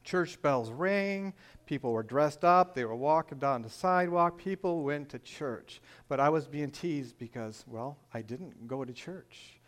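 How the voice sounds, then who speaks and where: A man's voice: fairly high pitch, animated, unhurried, few pauses. An older man from Michigan with a northern Michigan accent, telling his personal faith testimony in an earnest, storytelling way with frequent "uh"s. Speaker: church testimony speaker.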